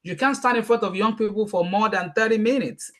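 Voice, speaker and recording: A Nigerian-accented man, Yoruba by first language, answering questions on a panel. His voice sits a little high, and he talks fast and emphatically, repeating words when he gets excited. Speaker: guest speaker.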